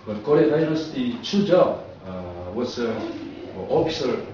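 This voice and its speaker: Slow Korean-accented man in a very echoey room. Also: mid-range voice, animated, warm tone. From South Korea. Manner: halting, short phrases in non-native English. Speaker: professor, co-presenter. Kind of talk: public lecture, answering questions. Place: echoey indoor lecture room. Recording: very echoey room, distant muffled mic, noise.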